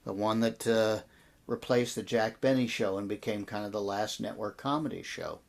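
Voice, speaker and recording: An American man in his sixties reminiscing in an interview as a guest, telling his story in a reflective, halting way. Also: lowish voice, creaky. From American Midwest and Texas. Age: 60s. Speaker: interview guest, audio drama producer and actor.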